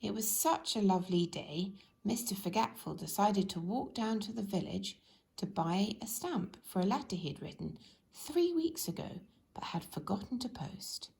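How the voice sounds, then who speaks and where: A woman's voice: medium pitch, animated, normal speed, vocal fry, darkish tone. A woman storyteller narrating a children's storybook.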